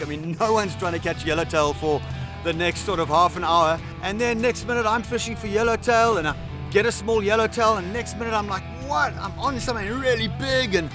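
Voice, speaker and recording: A man with an Austrian accent hosting a fishing show from a boat offshore, talking in an excitable, exclaiming way. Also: sits high. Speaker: host. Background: background music.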